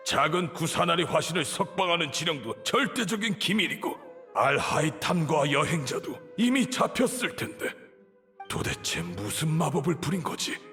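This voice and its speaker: An actor playing an imperious, suspicious older grand sage in a game, with a haughty, commanding manner. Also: male voice, fairly high pitch, sharp tone, heavily acted. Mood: agitated, disbelieving. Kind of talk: dramatic Korean game voice acting.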